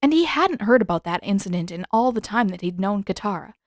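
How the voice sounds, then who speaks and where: A woman's voice: mid-range voice, animated, brisk, no room sound, clean studio sound. A woman reading scripted fiction aloud as the narrator of an audiobook.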